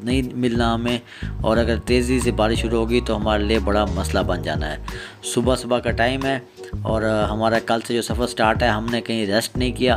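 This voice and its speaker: Pakistani man narrating over music. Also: medium pitch. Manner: explanatory, addresses viewers as friends. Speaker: travel vlogger. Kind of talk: casual travel vlog narration. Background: background music.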